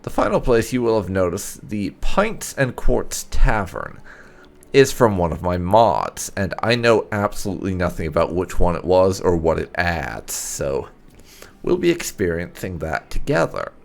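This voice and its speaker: A man's voice: sits low, sing-song, unhurried, gravelly. A man hosting a gaming channel, giving casual let's play commentary.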